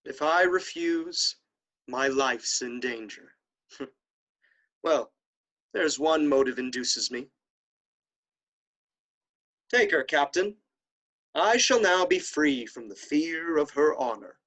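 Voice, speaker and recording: A man's voice: on the high side, lively intonation, slow, long pauses, a bit bright, heavily acted. An actor playing a stern, honor-obsessed nobleman brother in a classical stage comedy, declaiming in a haughty, indignant way. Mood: resigned.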